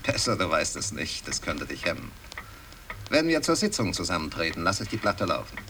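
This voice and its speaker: An actor playing a scheming, calculating son of an aristocrat in a radio drama, precise and conspiratorial. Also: male voice, low voice, sing-song, brisk, bright, forceful tone, full character voice. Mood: scheming, confident. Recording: distant, noisy 1960s radio recording.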